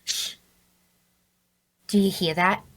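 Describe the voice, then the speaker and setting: A woman's voice: fairly low pitch, fairly even intonation, slow pace, fairly bright tone. A woman playing a sly young female thief in a fantasy drama, with a coy, teasing, conversational way of talking. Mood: alert, hushed. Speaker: voice actor. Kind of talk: scripted character dialogue at a table read.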